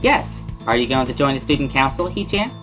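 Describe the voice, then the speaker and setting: A man's voice: medium pitch, big pitch swings, brisk, over music, pitched above the performer's own voice, natural voice. A man voicing a bubbly, pushy teenage schoolgirl in an amateur visual novel reading, with a sing-song, coaxing manner.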